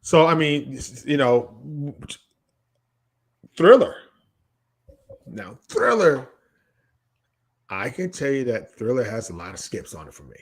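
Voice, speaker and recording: A man's voice: medium pitch, very animated, slow pace, pauses often. Man, late forties, mid-range voice, casual podcast debate, emphatic, opinionated, repeats points. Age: late 40s. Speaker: podcast co-host.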